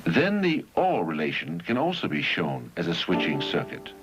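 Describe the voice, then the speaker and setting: A man reading scripted educational film narration in a measured, didactic way with deliberate phrasing, on a 1971 film soundtrack. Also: low voice, huge pitch swings, music in the background, rough recording.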